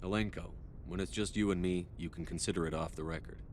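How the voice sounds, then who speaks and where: Brisk, steady space commander. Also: male voice, sits low, a little hiss or hum. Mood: reassuring. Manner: direct, composed, inquiring. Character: steady, approachable male military commander in space. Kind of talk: video game dialogue acting.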